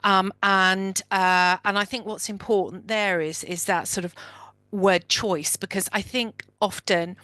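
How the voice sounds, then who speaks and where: A woman's voice: mid-range voice, fairly even intonation, measured pace, bright, forceful tone, dry recording. A woman author speaking thoughtfully as a guest on an online panel.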